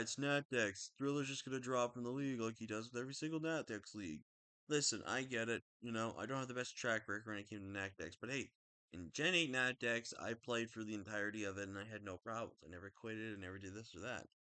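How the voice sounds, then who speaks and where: A young man talking casually about his game team, rambling and correcting himself, with a slightly raspy throat. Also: mid-range voice, no echo. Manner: rambling, self-correcting, excitable. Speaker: draft league player. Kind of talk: casual video commentary.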